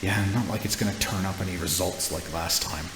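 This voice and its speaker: Man playing offhand, low-voiced criminal henchman. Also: brisk, echoey room, background noise, natural voice. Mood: businesslike, resigned. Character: casual, businesslike criminal henchmen talking shop.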